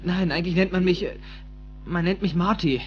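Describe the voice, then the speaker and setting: A man playing a cheeky, bewildered teenage boy in dubbed film dialogue, expressive and incredulous. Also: sits high, brisk, lo-fi sound, slight background noise, lightly acted.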